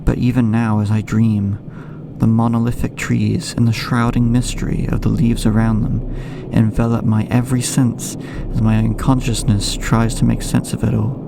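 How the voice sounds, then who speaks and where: Man playing a haunted, creaky-voiced young horror narrator. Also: medium pitch, little pitch movement, noisy background, lightly acted. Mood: uneasy, reflective. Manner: brooding, ornate, measured storytelling. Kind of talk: scripted first-person horror audio drama narration. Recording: music bed under the voice. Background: clear background music.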